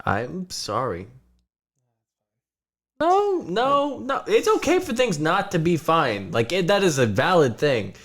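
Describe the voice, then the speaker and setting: A man's voice: high voice, huge pitch swings, a bit bright. A young man playing a tabletop role-playing game, joking, swearing and laughing a lot, sometimes speaking in character. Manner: laughing, profane, rapid joking. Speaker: player. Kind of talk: casual tabletop game chat, partly in character.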